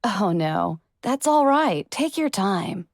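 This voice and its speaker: Casting: woman as elegant pony songstress for an audiobook, soft, courteous, compassionate, dry sound. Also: mid-range voice, sing-song, slow, dry recording, exaggerated voice. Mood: soft, reassuring. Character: gracious, gentle, elegant mare singer.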